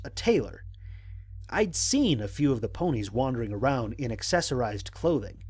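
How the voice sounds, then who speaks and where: Man acting a wry space explorer, animated intonation. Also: medium pitch, husky. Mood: dry, reflective. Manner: measured, formal, dryly humorous. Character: stranded male space explorer, analytical, wryly exasperated. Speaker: narrator of an audio drama. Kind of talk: scripted first-person mission log narration.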